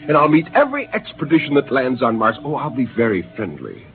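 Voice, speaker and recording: An actor playing a bitter, disillusioned astronaut who has turned rebel, speaking in an intense, brooding and defiant way in a 1950s radio drama. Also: male voice, mid-range voice, wildly swooping pitch, quick pace. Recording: hissy, lo-fi 1950s radio broadcast.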